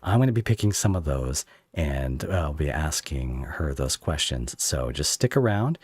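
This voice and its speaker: A man hosting a casual live interview, speaking in a halting, rambling way as he searches for phrasing. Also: extremely low pitch, big pitch swings, husky, no echo. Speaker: podcast host interviewing a guest.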